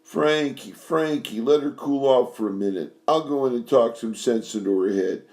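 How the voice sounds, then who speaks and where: A man voicing a chubby older male manager who wheedles and then yells. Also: mid-range voice, normal speed, pitched above the performer's own voice, natural voice. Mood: coaxing.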